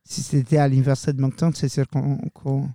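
A man's voice: medium pitch, level tone, fairly quick, dark tone, dry recording, studio quality. A young man hosting a student radio show, talking loosely and casually and slipping in English words. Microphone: studio microphone.

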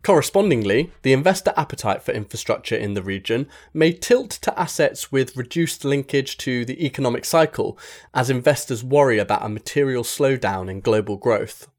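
Man, mid-pitched voice, scripted article narration, formal, measured delivery. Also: animated. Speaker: article reader. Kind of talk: scripted narration, magazine article read aloud.

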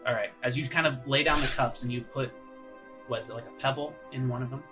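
A man improvising tabletop game narration as game master, casual and descriptive in a halting way. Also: mid-range voice, fairly even intonation, sharp tone. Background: music.

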